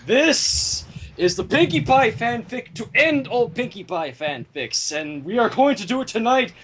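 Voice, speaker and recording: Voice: medium pitch, sing-song, a little slow, a bit bright, slight background noise. A theatrical, giggly host chatting with friends and reading fan fiction live, with a flair for dramatic announcements. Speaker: fanfiction reader and co-host. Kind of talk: casual group chat and live fanfic reading.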